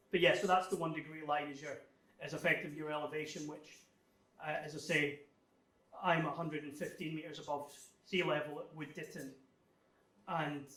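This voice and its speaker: A man giving an informal technical presentation, explaining things in a halting, thinking-aloud way as he answers questions. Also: on the high side, fairly bright tone. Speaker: guest speaker giving a technical club talk. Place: club meeting room, live talk. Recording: echoey room, distant microphone.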